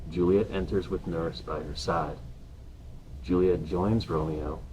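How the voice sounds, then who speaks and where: A man's voice: sits low, fairly even intonation, a little slow, mellow, slight background noise, rough recording. A man reading stage directions as a scripted narrator.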